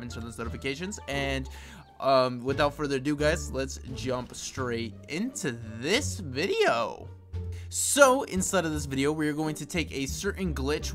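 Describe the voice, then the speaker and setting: A man with a slightly raspy voice giving casual, chatty gameplay commentary as a video host, often circling back with little asides. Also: mid-range voice, a bit bright. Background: game music.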